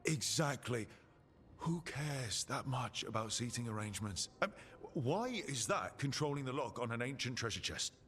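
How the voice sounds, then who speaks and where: A man's voice: mid-range voice, very animated, normal speed, fairly bright tone. A man playing an easygoing, inquisitive adventurer in a video game, conversational and dryly playful. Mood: wry. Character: easygoing, curious male adventurer from an assassin guild. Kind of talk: video game dialogue acting. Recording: music under the dialogue. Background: game music.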